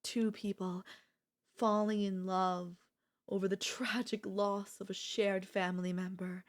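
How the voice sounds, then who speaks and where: A woman's voice: mid-range voice, level tone, measured pace, natural voice. A woman playing an obsessive, lovestruck and gleefully sinister older sister in an ASMR audio roleplay. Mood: scheming.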